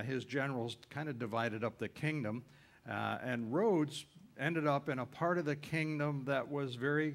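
A man's voice: medium pitch, creaky. A man giving an informal travel lecture on a cruise ship, folksy and rambling, with frequent uhs and self-corrections.

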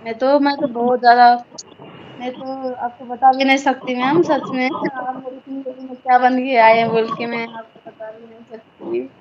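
A young woman from Nepal on a video call, earnestly grateful and quick to agree. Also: sits high, level tone, crowd noise. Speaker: caller. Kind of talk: casual video call chat. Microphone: phone on a video call. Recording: lo-fi noisy video call line.

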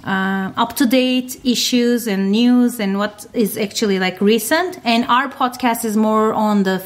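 A woman guest explains her work in quick bursts of speech, full of "um" and "like". Her voice sits somewhat high and keeps a steady, chatty tone. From Turkey. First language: Turkish. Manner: many fillers, talks in quick bursts. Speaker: guest, science podcaster. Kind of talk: interview answers.

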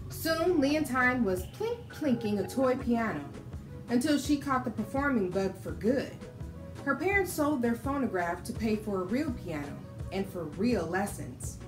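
With music playing clearly behind her, an American woman in her thirties reads aloud for children. Her voice sits at an average pitch. She has the instructive, expressive delivery of a storytime host.

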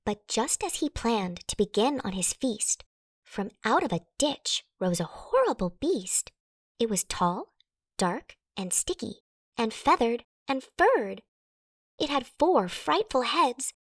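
Animated high-voiced woman reading a storybook. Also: slow, dry recording, clean studio sound. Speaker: storybook narrator. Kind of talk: expressive children's read-aloud in rhyme.

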